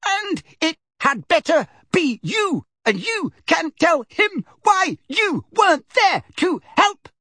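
A narrator voicing a frantic, fussy elderly woman neighbor who scolds and frets in a high, wavering voice. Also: very animated, unhurried, fairly bright tone, husky, no echo, studio quality, heavily acted. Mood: furious. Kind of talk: audiobook character voice.

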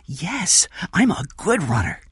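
A man's voice: high-pitched, wildly swooping pitch, takes it slowly, a bit bright, slightly rough, no echo, studio quality, pitched above the performer's own voice, full character voice. A man voicing an innocent, plucky young boy with a simple, wide-eyed manner.

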